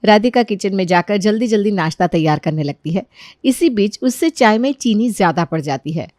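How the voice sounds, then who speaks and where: A woman's voice: medium pitch, lively intonation, fairly quick, a little mellow, dry recording. Dry-sounding woman narrating a story.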